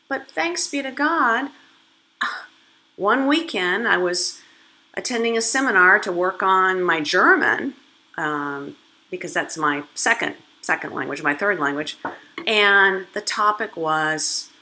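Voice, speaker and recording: A retired woman teacher in her later years speaks earnestly to her viewers, trying to persuade them. Her voice sits a little low but sounds bright and clear, and she often restarts her sentences and fills pauses as she thinks. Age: older. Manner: sincere, persuasive, with frequent restarts and fillers. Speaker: retired language teacher and video creator. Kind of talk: earnest reflective monologue.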